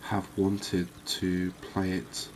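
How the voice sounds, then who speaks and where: A man giving calm, unhurried, soft-spoken ASMR gameplay commentary, musing aloud as he plays. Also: low-pitched, a little hiss or hum. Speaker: gaming video host.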